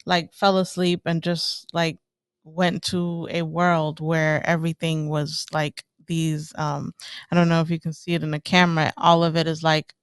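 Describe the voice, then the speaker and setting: A woman's voice: deep voice, level tone, a little slow, clear, resonant tone, no echo. A woman who grew up in Ethiopia chatting casually as a guest, rambling through fillers and laughing now and then. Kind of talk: casual chat.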